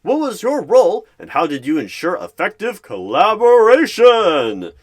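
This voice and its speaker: A man's voice: high-pitched, huge pitch swings, unhurried, voice pushed up, full character voice. Man playing an awkward recruiter with swooping voice. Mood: formal, emphatic. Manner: formal, scripted interview questioning with emphatic swings. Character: awkward, earnest male recruiter conducting a job interview. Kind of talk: ASMR roleplay.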